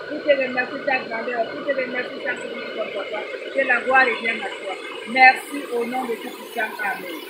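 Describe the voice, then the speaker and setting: Brief: non-native French-speaking woman, high voice, repetitive preachy lecture to moms, noisy background. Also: steady tone, normal speed. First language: not French. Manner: prayerful, scolding, repetitive, addresses listeners as mothers. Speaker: advice vlogger. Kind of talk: informal preaching talk to mothers.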